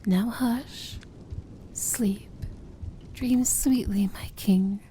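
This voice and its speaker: A woman playing a powerful, possessive princess of evil in a romantic fantasy ASMR roleplay, breathy and often whispering, commanding yet tender. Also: medium pitch, drawn-out words, warm tone, a little hiss or hum. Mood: soothing, loving.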